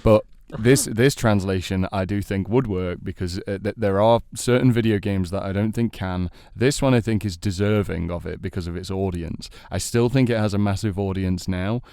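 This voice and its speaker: Low-voiced man chatting about films. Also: normal speed, few pauses, warm tone, clean tone, no room sound. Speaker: podcast panellist debating films. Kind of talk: casual chat about films and games.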